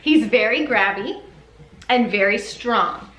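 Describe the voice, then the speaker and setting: A woman's voice: a little high, expressive, slow pace, a bit bright. Chatty woman in an echoey room. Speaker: parenting vlogger, mother. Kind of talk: casual chatty vlog. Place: upstairs bedroom at home.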